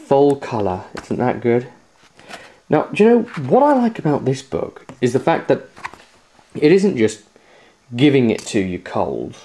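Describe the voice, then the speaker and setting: A man with a medium-pitched voice talks casually to viewers as a video host. He is enthusiastic and chatty, leaning on key words as he walks through a product review. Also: dark tone, slight background noise. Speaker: host of a tabletop gaming video series.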